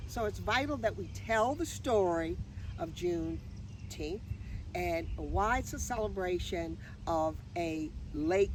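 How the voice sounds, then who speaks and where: A woman's voice: fairly low pitch, expressive, slow pace. An older American woman introducing herself conversationally as an interview guest, recorded outdoors. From Orange County, United States. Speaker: interview guest, community organizer and retired educator. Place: outdoors by a railroad track. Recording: noisy outdoor recording with vehicle sounds.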